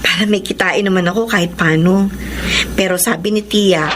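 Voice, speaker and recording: A woman's voice: mid-range voice, fairly even intonation, moderate pace, a little hiss or hum, lightly acted. A Filipina actress playing a wronged but resolute young single mother in a radio drama, earnest and emotional. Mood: earnest, subdued.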